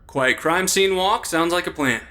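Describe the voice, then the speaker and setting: An actor playing an irreverent, jittery amateur sleuth in a comedy audio drama, casual and sarcastic. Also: male voice, high voice, a little slow, sharp tone, slight background noise.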